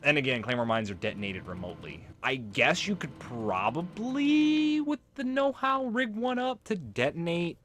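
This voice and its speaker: A man's voice: on the high side, sing-song, moderate pace, few pauses, fairly bright tone, slight background noise. A man giving casual, rambling gameplay commentary, explaining things as he plays and swearing freely. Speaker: let's play host.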